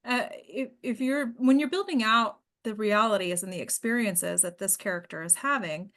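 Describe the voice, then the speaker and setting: A woman's voice: mid-range voice, moderate pace, fairly bright tone. A woman hosting and coaching a casual group discussion, explaining things in an encouraging way. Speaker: host and acting coach.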